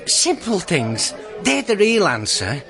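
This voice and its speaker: Matey barfly in a radio drama, colloquial, rambly, cadging type. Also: male voice, high voice, wildly swooping pitch, takes it slowly, creaky, fairly bright tone, soft background music, exaggerated voice. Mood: grumbling, wheedling. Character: worried, matey pub regular cadging drinks.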